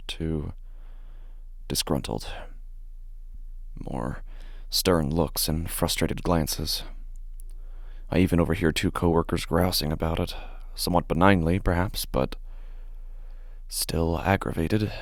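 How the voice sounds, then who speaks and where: A man playing an anxious, meticulous office worker, narrating a horror story in the first person in a halting, deliberate way. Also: sits low, steady tone, no room sound, studio quality. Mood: grim, subdued. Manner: halting, deliberate, stammers when agitated. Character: anxious, meticulous male office worker unsettled by his typos.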